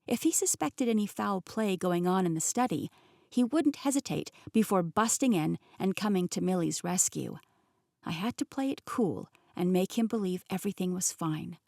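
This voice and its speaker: A young adult woman narrating a first-person novel in a clear, expressive storytelling manner, recorded on a close studio mic. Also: on the low side, moderate pace, no room sound. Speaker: audiobook narrator.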